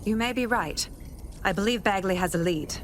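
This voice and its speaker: An actress playing a composed police contact in a video game, with a formal, clipped, businesslike manner. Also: female voice, medium pitch, normal speed, a little hiss or hum, lightly acted. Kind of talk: scripted video game dialogue.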